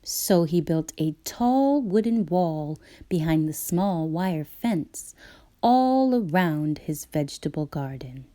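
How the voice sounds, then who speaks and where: A woman reading a children's picture book aloud, expressively and dramatically, with drawn-out emphasis on key words. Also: sits low, expressive, warm tone. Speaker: read-aloud storyteller.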